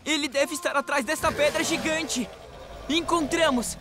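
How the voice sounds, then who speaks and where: A woman's voice: sits high, normal speed, background noise, close to an everyday voice. A woman voicing a naive, earnest male delivery motorbike in a children's cartoon, self-important and easily deflated. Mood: proud.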